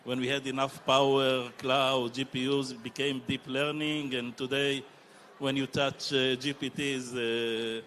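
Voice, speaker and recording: Man in his fifties, halting panel speaker, faint hall noise behind. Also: medium pitch, flat delivery, fairly bright tone. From Israel. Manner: halting, many filler pauses. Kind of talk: panel discussion answer. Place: conference stage.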